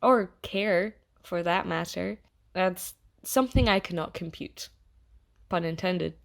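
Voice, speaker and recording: A woman playing an earnest artificial intelligence in an audio theatre monologue, speaking conversationally and wryly with halting, emphatic pauses to the listener. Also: fairly low pitch, vocal fry. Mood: dismayed, wry. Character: earnest artificial intelligence, fond of yet disappointed in humanity.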